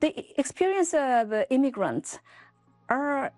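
A woman's voice: high voice, very animated, a little slow, darkish tone, dry recording, faint music behind. A woman author from South Korea, explaining her ideas thoughtfully in an interview and often checking in with "right?". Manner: thoughtful, explanatory, frequent tag questions. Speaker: author and guest. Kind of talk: interview answers.

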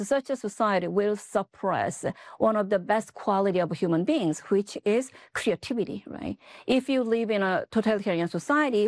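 A woman speaks as an author in an interview, explaining her ideas with care. She often pauses and adds "right?" to check in. Her voice sounds close and dry. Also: mid-range voice, a little mellow, no echo. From South Korea. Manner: thoughtful, explanatory, frequent tag questions. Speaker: author and guest. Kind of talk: interview answers.

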